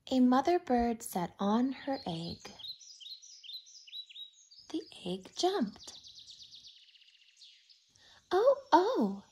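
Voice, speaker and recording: A woman reading a picture book aloud expressively to young children, in simple, deliberate phrases. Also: a little high, sing-song, darkish tone, husky. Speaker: children's storytime reader.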